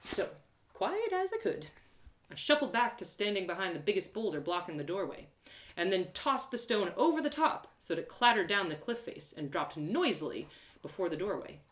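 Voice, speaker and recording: A woman narrating a first-person story in an animated, theatrical way, with dramatic pauses. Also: mid-range voice, reverberant. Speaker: storyteller performing an audio drama live.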